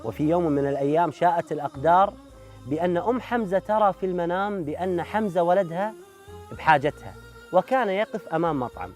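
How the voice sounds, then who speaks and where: A man hosting and narrating a documentary, speaking rapidly and earnestly. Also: high voice, faint music behind. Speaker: host.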